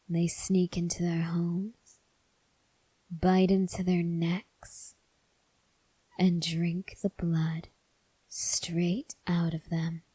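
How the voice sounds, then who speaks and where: A teasing, dangerous female vampire, acted by a woman for an ASMR roleplay. Her voice is dark and breathy, often falling to a whisper. She speaks very slowly and intimately, close to the mic, coaxing with quiet menace. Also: fairly low pitch, dry recording, full character voice, low-quality recording. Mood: hushed, ominous. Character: soft-spoken, teasing, menacing female vampire huntress with an axe.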